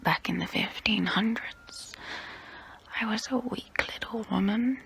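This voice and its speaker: Casting: ancient female vampire played by a woman for ASMR role play. Breathy whisper, crisp bright edge, unhurried, proud yet wistful. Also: fairly high pitch, heavily acted. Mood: wistful pride. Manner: slow, intimate, close-up, deliberate pauses.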